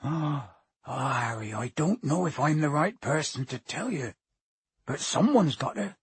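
A man voicing a gruff, warm-hearted giant in an audiobook, rough-voiced, with rustic, blustering and halting speech. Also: fairly high pitch, animated, heavily acted. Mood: anxious. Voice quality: husky, rough.

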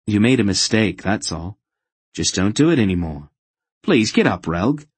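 A man playing an earnest, flustered boy, pitched up. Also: very animated, moderate pace, husky, no room sound. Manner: flustered, protesting, firm. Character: earnest, awkward, stubborn boy hero.

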